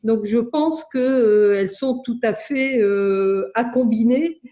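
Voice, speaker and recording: A woman who is an elected city official, giving an explanatory answer in an online meeting, with measured, hesitant phrasing. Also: on the high side, dark tone. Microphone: video call.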